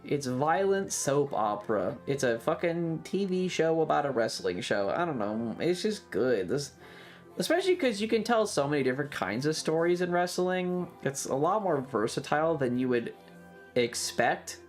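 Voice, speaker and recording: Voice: mid-range voice, very animated, moderate pace, faint music behind. A streamer chatting casually to a live audience in a rambling, opinionated, emphatic way with plenty of swearing. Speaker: livestreamer. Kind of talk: casual chat to a livestream audience.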